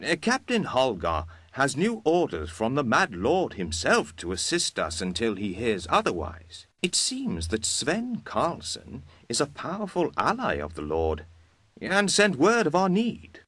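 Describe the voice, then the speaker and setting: Animated businesslike military adviser. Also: male voice, medium pitch, measured pace, husky, dry recording, heavily acted. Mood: practical, informative. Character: businesslike male adviser briefing his commander. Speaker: briefing narrator. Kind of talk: fantasy game mission briefing.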